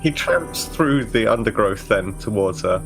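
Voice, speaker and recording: Casting: male tabletop player, low gravelly voice, halting casual storytelling, music behind him. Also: animated. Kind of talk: improvised in-character narration, casual.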